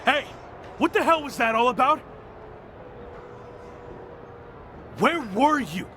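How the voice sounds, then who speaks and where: High-voiced, animated young pro wrestler. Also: male voice, normal speed, noisy background. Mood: angry, confronting. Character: cocky, aggrieved young male pro wrestler, former champion. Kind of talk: video game cutscene acting.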